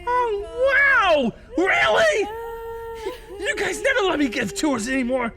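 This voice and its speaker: A man's voice: very high voice, big pitch swings, slow, raised voice, full character voice. A man playing an eager, dim, good-natured sidekick with a goofy, earnest manner in a comic horror drama. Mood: eager, cheerful. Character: cheerful, naive, eager dimwit male studio sidekick. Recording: reverberant hall with music behind.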